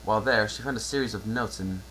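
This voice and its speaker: A man's voice: on the low side, quick pace, sharp tone, poor audio. Male story narrator, faint hum behind. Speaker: narrator, amateur reader. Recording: faint background noise and hum.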